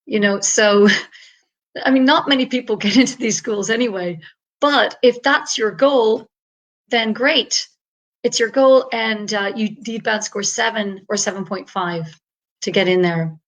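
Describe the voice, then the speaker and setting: A woman's voice: medium pitch, unhurried, poor audio. A woman from Ireland, an English tutor talking on a video call in a chatty, upbeat way with many fillers. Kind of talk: online panel talk.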